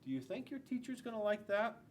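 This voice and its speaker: American male storybook reader, forties, high register, very expressive, asks the kids questions. Noisy classroom recording. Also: a little fast. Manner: animated, dramatic, asking the children questions. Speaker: school resource police officer. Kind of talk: reading a children's picture book aloud. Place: school classroom, indoors. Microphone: camera a few feet away. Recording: noticeable room noise with low rumble.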